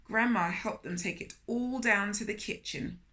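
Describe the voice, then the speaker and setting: A woman reading a picture book aloud to young children, animated and speaking to them directly with questions. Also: mid-range voice, normal speed. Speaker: children's storyteller, host of a storytime series.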